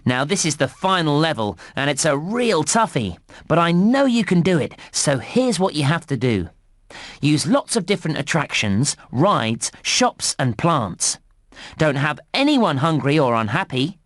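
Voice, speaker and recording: An actor playing a cheerful, encouraging theme park guide, giving clearly enunciated instructions in a children's game. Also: male voice, on the high side, fairly bright tone, no echo, clean studio sound. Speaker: game narrator and tutorial guide. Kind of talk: scripted children's game narration.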